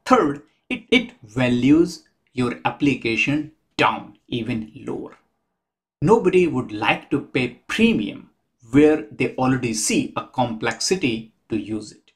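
A man in his fifties, the host, talking to camera from a small room at home in a measured, methodical way, counting his points off one by one. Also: mid-range voice, very animated. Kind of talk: explanatory talk to camera.

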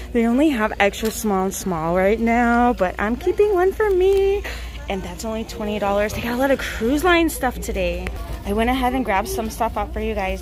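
Woman vlogger, higher voice, bursts of excitement, phone camera in a store. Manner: gushing, exclamatory, quick bursts of excitement. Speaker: shopping vlogger. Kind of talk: excited shopping haul vlog. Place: parked car, then indoor retail store. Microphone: handheld phone camera.

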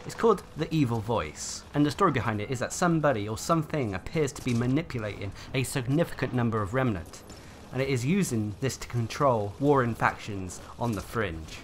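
A man with a mid-pitched voice narrates as the host of a gaming channel. He delivers scripted information in the manner of an online update video. Faint game ambience sits behind his voice. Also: animated, brisk, a little hiss or hum.

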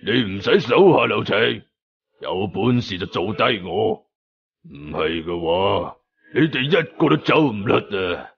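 A man voicing a defiant veteran fighter villain in his thirties from an audio story, rough and sneering, with a Hong Kong Cantonese accent. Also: lowish voice, very animated, lo-fi sound, pitched below the performer's own voice, full character voice. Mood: taunting, defiant. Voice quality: rough, gravelly. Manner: slow, taunting, sneering.

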